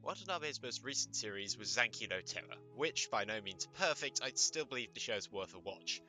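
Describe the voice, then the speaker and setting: A man delivers scripted comedic commentary in a very bright, forceful voice. His pitch swings around in a lively way as he plays up sarcasm and mock exasperation, swearing freely. Music plays underneath. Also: moderate pace, low-quality recording. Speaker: host of an anime commentary video channel.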